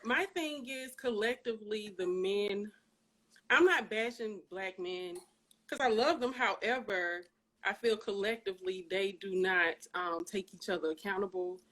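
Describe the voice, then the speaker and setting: A woman calling in to a discussion, giving an earnest, casual opinion in a deliberate, emphatic way. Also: mid-range voice, a bit bright. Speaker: caller on a discussion show.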